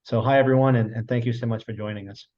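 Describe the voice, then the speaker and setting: A man's voice: mid-range voice, steady tone, muffled recording. A young man in his twenties, a medical student, hosting a video-call panel in a friendly way with many fillers. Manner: friendly, quick, with fillers and restarts. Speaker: host, medical student moderator.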